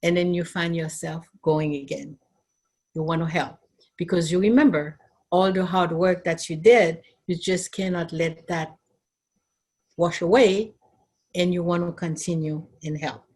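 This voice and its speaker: A middle-aged Haitian woman who is a nurse and educator, telling her story in an earnest, thoughtful way with pauses and fillers. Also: lowish voice, steady tone, darkish tone. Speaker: nurse and nursing educator, interviewee. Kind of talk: recorded interview, personal recollection.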